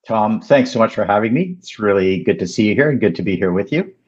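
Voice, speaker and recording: A man in his fifties whose first language is French, speaking as a guest in a casual interview in a measured way, with frequent ums and restarts. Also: lowish voice, lively intonation, slightly rough, lo-fi sound. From Canada. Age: 50s. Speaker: guest, company founder.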